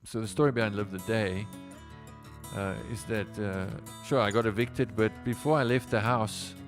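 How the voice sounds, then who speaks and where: A man's voice: lowish voice, steady tone, normal speed, faint music behind. A South African man, native Afrikaans speaker, a musician telling stories on a casual livestream in a rambling way with lots of fillers. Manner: rambling, with many fillers and restarts.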